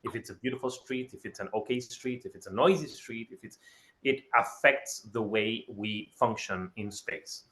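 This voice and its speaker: A man's voice: fairly low pitch, normal speed, a bit bright, a little hiss or hum. Enthusiastic man from Ecuador presenting. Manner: enthusiastic, repeats words for emphasis. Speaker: guest speaker. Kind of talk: webinar presentation. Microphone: video call.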